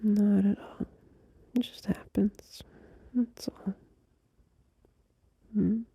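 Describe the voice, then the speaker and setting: Male performer as a tender young boyfriend at night. Slow, breathy whisper with gravelly fry, close and dry. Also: high voice, fairly even intonation, no echo, full character voice. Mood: tender, sleepy. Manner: soft drowsy whisper, unhurried, with small laughs. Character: gentle, loving young boyfriend soothing a partner at night. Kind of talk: ASMR audio roleplay. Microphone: close mic.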